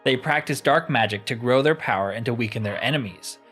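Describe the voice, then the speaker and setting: A man's voice: mid-range voice, brisk, fairly bright tone, breathy edge. World-weary fantasy tribesman, voiced by a man, grave and cautioning, light music beneath. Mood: wary, grave.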